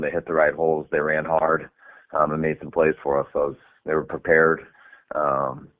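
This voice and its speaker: A man, a head coach, answering reporters' questions on a conference call in a relaxed way, with many fillers. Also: extremely low pitch. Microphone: phone. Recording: phone-line audio.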